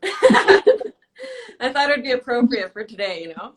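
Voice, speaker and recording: A woman's voice: fairly high pitch, wildly swooping pitch, unhurried, husky. A young woman from Canada hosting a casual live-stream interview, teasing her guest and laughing often. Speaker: host.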